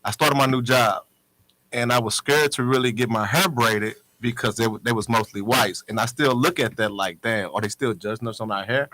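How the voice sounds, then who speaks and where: A man's voice: mid-range voice, normal speed, sharp tone, no room sound, poor audio. A man sharing personal experience in a casual, slangy way as a panel guest. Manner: informal, slangy.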